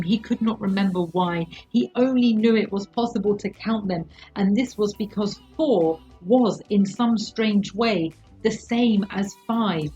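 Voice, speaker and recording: A woman narrating a literary story aloud as the host of a bedtime reading, in an expressive storytelling style. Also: mid-range voice, slightly rough. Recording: dull lo-fi live-stream sound.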